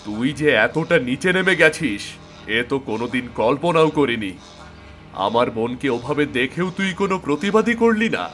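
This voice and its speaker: A man voicing an accusing, vengeful older brother in an animated thriller. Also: mid-range voice, moderate pace, faint music behind, lightly acted. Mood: bitter, accusing. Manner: accusing, confrontational. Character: furious, vengeful older brother of a wronged sister.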